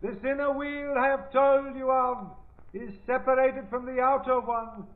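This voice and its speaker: A man in an old radio drama voicing a solemn spirit from beyond, speaking in a measured, incantatory way. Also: very high voice, level tone, phone-quality sound. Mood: solemn, otherworldly. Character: solemn, eerie high-voiced spirit from beyond at a seance. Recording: thin vintage radio recording.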